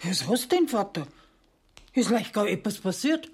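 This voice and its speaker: An actress playing an anxious old peasant grandmother with a Bavarian accent and a husky voice in a radio play. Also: medium pitch, big pitch swings, normal speed, darkish tone. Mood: worried questioning.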